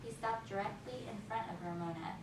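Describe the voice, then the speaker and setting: Woman reading aloud in an echoey room. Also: mid-range voice. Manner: pauses to question listeners and echo their answers. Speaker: teacher reading aloud to second graders. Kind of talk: read-aloud storytelling with chatty asides to children. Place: echoey classroom, recorded from a distance. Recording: distant, echoey, noisy room recording.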